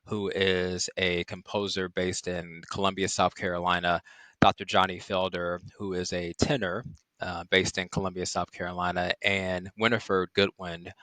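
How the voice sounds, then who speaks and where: A middle-aged man from Chicago, a psychiatrist guest on a podcast, speaking in a measured way with many "um" fillers. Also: low voice, little pitch movement, bright, forceful tone, no room sound. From Chicago, Illinois. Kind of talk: podcast interview.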